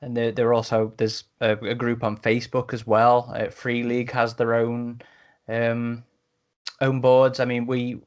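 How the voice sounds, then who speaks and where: A man's voice: mid-range voice, monotone, a little slow. Man chatting casually as podcast host.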